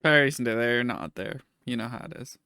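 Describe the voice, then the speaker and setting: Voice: medium pitch, normal speed, sharp tone, smooth, no echo, clean studio sound. A game master casually explaining the scene and rulings in a tabletop roleplaying game.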